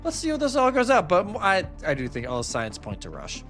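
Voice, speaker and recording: Animated male esports commentator, faint music behind. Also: high-pitched, brisk. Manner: colloquial, acts out imagined reactions. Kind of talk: live esports commentary, casual banter.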